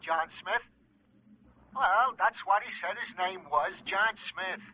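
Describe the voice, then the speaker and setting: An actor playing a fumbling, halting police sergeant on the phone, 1940s radio acting on a lo-fi old recording. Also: male voice, high voice, sharp tone, slight background noise, heavily acted. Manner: halting, stammering.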